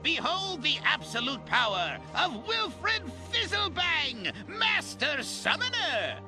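A man's voice: very high voice, big pitch swings, slow, music in the background, full character voice. A man voicing a boastful, pompous little warlock who fancies himself a master summoner in a video game. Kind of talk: video game character acting.

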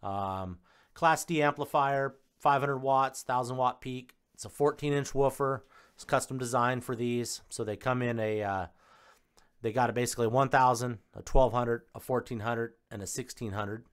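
A man's voice: medium pitch, normal speed, clean tone. A man giving a casual, off-the-cuff unboxing and spec review, listing details in short, informal phrases. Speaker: home theater installer presenting a product unboxing.

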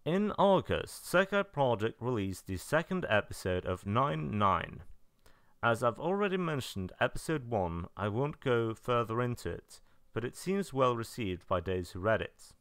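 A man narrating a semi-scripted review in a matter-of-fact way, with dry, deadpan asides. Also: mid-range voice, unhurried, smooth, no room sound. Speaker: video narrator reviewing game releases.

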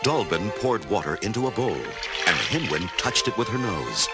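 A man's voice: lowish voice, sing-song, moderate pace, over loud music. A man reading dramatic scripted narration for a children's storybook.

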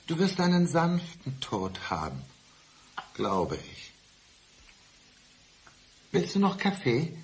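An actor playing a calm, embittered, menacing ex-husband in a radio crime play, speaking with measured, mock-gentle politeness. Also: male voice, a little high, exaggerated voice. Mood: coldly calm, menacing. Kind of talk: 1990s German radio crime play acting.

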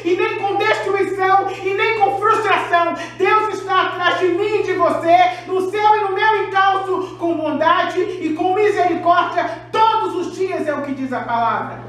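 A middle-aged woman pastor delivers an impassioned sermon in a high voice. She is picked up by a distant camera, so her words ring through a very echoey room. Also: a little slow, few pauses, fairly bright tone, a little hiss or hum. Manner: impassioned preaching with raised finger gestures.

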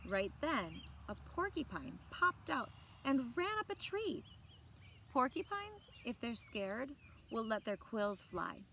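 A woman in her thirties reading a children's picture book aloud expressively, with deliberate phrasing and dramatic emphasis. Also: on the high side, sing-song, a bit bright. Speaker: library story time reader. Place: outdoors on a lawn by trees. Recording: outdoor background noise with birdsong. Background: outdoor ambience, birds.

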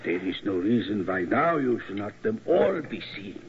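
An actor playing a sinister, mad German museum keeper with a German accent, deliberate and relishing in old-time radio style. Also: male voice, lowish voice, big pitch swings. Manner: deliberate, relishing, stilted syntax. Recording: muffled, noisy old radio recording.

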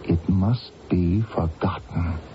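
An actor playing a loyal, cautious warrior of ancient Britain in a radio drama, earnest and pleading. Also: male voice, low-pitched, slow pace. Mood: urgent, reasoning. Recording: muffled, hissy 1980s radio recording.

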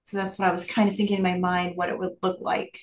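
A woman's voice: fairly low pitch, level tone, fast talker, slight background noise. Hesitant woman in a roomy office. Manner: thinking aloud, hesitant. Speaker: district administrator asking a question. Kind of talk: Q&A on a video call. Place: office with windows and bookshelves. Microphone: video call.

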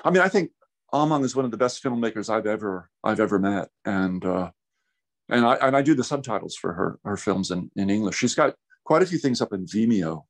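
A man's voice: lowish voice, lively intonation, brisk. A man talking casually as an interview guest, rambling and thinking aloud as he explains. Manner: rambling, thinking aloud, frequent "you know". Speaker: translator, podcast guest.

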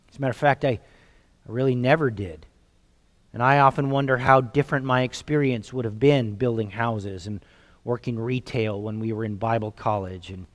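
A man's voice: a little high, in a large hall. A man in his forties with a Southern US accent preaching a sermon from a church stage into a headset mic, earnest and emphatic, often repeating words as he builds a point. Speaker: pastor. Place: church sanctuary stage, seated behind a music stand.

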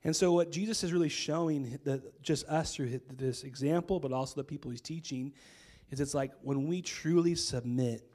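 A man in his thirties with an American accent preaching a sermon on a church stage into a handheld mic, his voice hoarse from a cold, casual and conversational with many fillers. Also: medium pitch, mellow. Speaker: pastor. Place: church stage with string lights.

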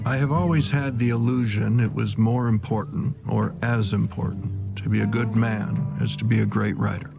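Very gravelly, reflective male writer. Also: lowish voice, steady tone, moderate pace, few pauses. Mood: earnest, reflective. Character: reflective male writer in his own quoted words. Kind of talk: voice-over reading of a writer's words. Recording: music under the voice. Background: guitar music.

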